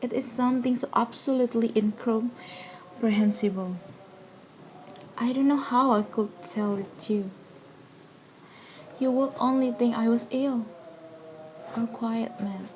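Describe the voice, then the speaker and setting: Woman playing a troubled, gracious lady, slow and halting. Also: on the high side, fairly even intonation, a little mellow, a little hiss or hum, natural voice, poor audio. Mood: distressed, hesitant. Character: troubled, gracious married lady in a stage play.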